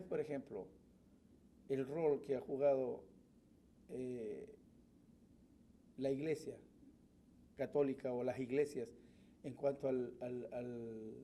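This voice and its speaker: A man's voice: mid-range voice, vocal fry, mellow, slight background noise. A man hosting an interview, asking questions in a hesitant way with many fillers. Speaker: interview host.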